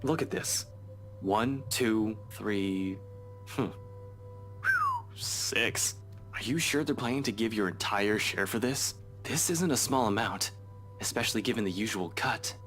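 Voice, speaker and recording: Earnest gentle cop in a visual novel, lowish voice, music behind. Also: male voice, measured pace. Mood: businesslike. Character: earnest, gentle adult male police detective.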